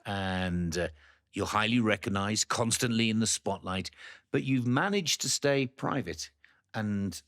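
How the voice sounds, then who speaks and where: A man with a fairly low voice conducts an interview, asking long, winding questions. He answers his guest with friendly encouragement and easy praise. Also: a little slow, fairly bright tone. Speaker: interviewer host. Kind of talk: podcast interview.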